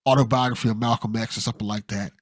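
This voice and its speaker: A man's voice: fairly low pitch, steady tone, very fast, no room sound, clean studio sound. A man hosting a podcast in a casual, conversational style, encouraging and supportive toward his guest. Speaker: podcast host.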